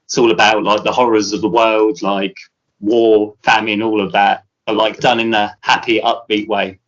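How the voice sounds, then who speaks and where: A man's voice: on the low side, level tone, moderate pace, fairly bright tone, dull audio. A British man, a musician guest, chatting casually in an interview, rambling with many fillers and restarts.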